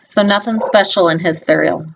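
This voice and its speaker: A woman with a fairly low voice reads a children's picture book aloud. She is expressive and informal, speaking straight to her young listeners with chatty, gently instructive asides. The recording is slightly dull and lo-fi, like a home video. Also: moderate pace, vocal fry, a little hiss or hum.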